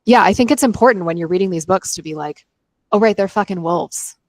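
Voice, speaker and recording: A woman's voice: on the low side, fairly quick, dry recording. A woman co-hosting a book club podcast, moving between casual, opinionated chat and read-aloud chapter summaries with dry humor and easy swearing.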